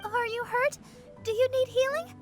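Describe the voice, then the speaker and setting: A woman voicing a gentle, anxious young healer girl in an anime game. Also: very high voice, normal speed, music in the background.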